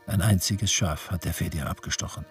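Radio drama: man as grave prisoner-witness, fairly low voice, storytelling over clearly audible music. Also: fairly quick, lightly acted. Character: male labour-camp prisoner, grave witness telling the story. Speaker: actor narrating in a radio play. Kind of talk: literary radio play narration. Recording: music behind the voice. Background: instrumental music.

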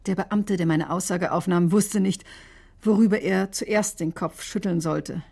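A woman's voice: on the low side, a little slow, creaky, mellow, husky, heavily acted. An actress playing a headstrong, defensive female doctor in a radio crime drama. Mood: sober, bitter. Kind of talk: German radio drama acting.

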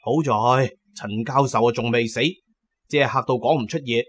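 A man's voice: medium pitch, steady tone, moderate pace, breathy edge. A man narrating a first-person adventure story as a dramatic audiobook storyteller.

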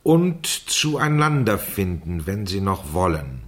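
A man reads out a scheme in a radio drama. His voice is very deep and husky, and he takes it slowly, pointing each phrase with deliberate care. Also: very animated, exaggerated voice. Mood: deliberate, pointed. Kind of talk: 1970s German radio play, reading aloud.

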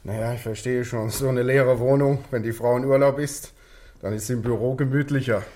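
Actor as garrulous folksy office attendant. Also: male voice, medium pitch, steady tone, moderate pace, a little mellow, close to an everyday voice. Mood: chatty, sympathetic. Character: chatty, familiar male police office attendant. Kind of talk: 1960s German radio play acting.

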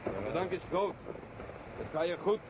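Steady, highish-voiced brother-in-arms. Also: male voice, unhurried. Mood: hearty, assured. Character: seasoned, grateful brother-in-arms, plain-spoken bachelor. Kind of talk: radio drama acting. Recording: noisy old radio play recording.

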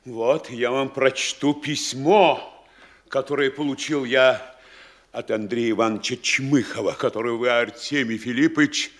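An actor playing an anxious, self-important provincial town mayor in a studio radio play, talking in a measured, weighty, fretful way. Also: male voice, medium pitch, fairly even intonation, close to an everyday voice. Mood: uneasy. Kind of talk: classic studio radio drama acting.

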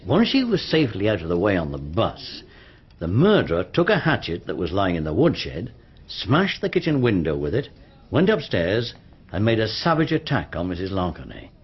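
An actor with a British accent playing a methodical, matter-of-fact police inspector in a radio drama, speaking in a measured, probing way. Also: male voice, low voice, huge pitch swings, husky, exaggerated voice. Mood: grave, matter-of-fact. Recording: slightly dull, band-limited radio recording.

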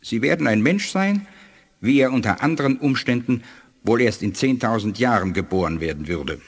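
Husky, dignified alien scientist, sweeping intonation. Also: male voice, medium pitch, animated. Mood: solemn, persuasive. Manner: measured, formal, didactic delivery. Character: dignified alien scientist of a starfaring race, shrewd and authoritative. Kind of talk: 1980s German sci-fi radio play acting.

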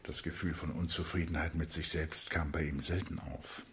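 Very deep-voiced male storyteller. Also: steady tone, moderate pace, a bit bright, a little hiss or hum. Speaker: narrator. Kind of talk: scripted literary radio play narration.

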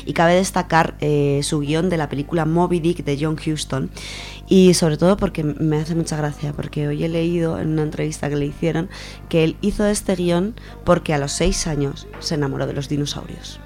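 A woman's voice: low voice, fairly even intonation, moderate pace, darkish tone, soft background music. A woman from Spain presenting on radio, giving an informal, semi-prepared account in an explanatory way, restating points as she goes.

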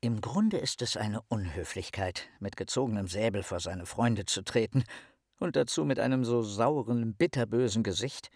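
An actor playing an eccentric, bookish young philosopher with a wry, self-important theatrical manner in a radio play. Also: male voice, fairly low pitch, moderate pace, a little mellow, close to an everyday voice. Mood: indignant. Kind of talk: dramatic reading of letters in radio play.

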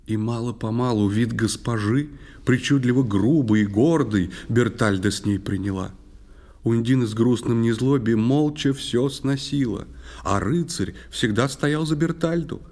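A man's voice: mid-range voice, unhurried, a little mellow. A man narrating a fairy tale in verse for a scripted audio play.

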